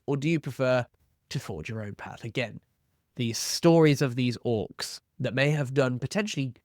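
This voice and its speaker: A man with a mid-pitched voice presents an advice video in a conversational manner. He poses reflective questions to viewers and explains them like a helpful guide. The sound is dry, with very little room in it. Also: no room sound. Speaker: host of a tabletop roleplaying advice channel.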